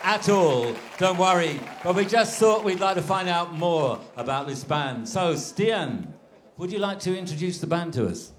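A man's voice: high voice, expressive, normal speed. A man hosting an onstage interview at a festival, asking questions with enthusiasm. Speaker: festival stage host.

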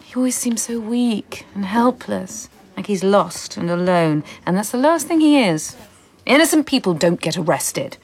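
A woman's voice: medium pitch, lively intonation, normal speed, breathy edge. An actress in a radio drama playing an anguished, bitter and volatile Soviet woman in love. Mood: wistful, bitter.

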